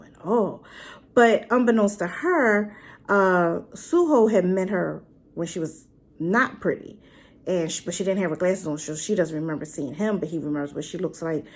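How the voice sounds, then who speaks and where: A woman's voice: medium pitch, creaky. A woman casually reviewing a drama in a chatty, rambling way, full of fillers and asides. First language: not Korean. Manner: animated, with rhetorical questions.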